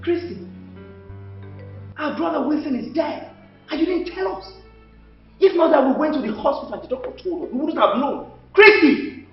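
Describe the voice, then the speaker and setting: A man's voice: extremely high pitch, expressive, moderate pace, pauses often. A man with a Nigerian accent playing an outraged uncle, exclaiming and accusing his brother's widow in a melodramatic film. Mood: shocked. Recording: very echoey, dull audio, music behind.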